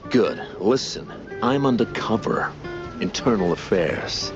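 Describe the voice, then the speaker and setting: A man voicing a gruff, officious mouse guard in a cartoon game, curt and bossy. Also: on the low side, expressive, slow pace. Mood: conspiratorial. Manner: clipped, bossy, secretive. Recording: muffled, guitar music behind.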